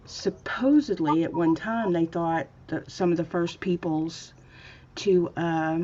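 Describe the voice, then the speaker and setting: A woman's voice: lowish voice, slow pace, warm tone, muffled recording. A woman in her fifties hosting a casual chat, talking in a meandering way. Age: 50s. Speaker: host of a painting stream.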